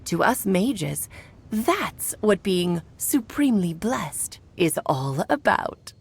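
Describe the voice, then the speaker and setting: A woman's voice: medium pitch, very animated, takes it slowly, gravelly, a little hiss or hum, exaggerated voice. A woman playing a playful, worldly elder mage and doting mother in a video game, speaking in an eloquent, theatrical way. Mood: tender, reflective. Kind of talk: scripted video game voice acting.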